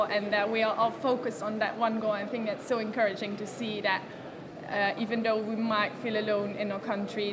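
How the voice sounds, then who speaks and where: A student woman from Belgium speaks earnestly in a slightly high voice, pausing with fillers as she looks for words. Clear background noise runs behind her. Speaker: student guest.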